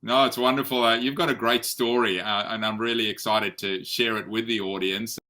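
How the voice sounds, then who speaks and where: A middle-aged man hosts an interview podcast in a bright, forceful, slightly low voice. He is polite and friendly, often repeating a word before getting a sentence going. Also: fairly even intonation, moderate pace. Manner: friendly, polite, repeats words when starting. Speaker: podcast host. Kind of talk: interview hosting.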